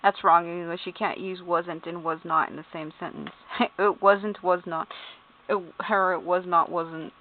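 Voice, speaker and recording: A woman's voice: fairly low pitch, steady tone, unhurried. A woman at home giving casual, amused commentary as she reads game character bios, spelling out names letter by letter, laughing and swearing. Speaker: YouTuber. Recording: tinny phone-like sound.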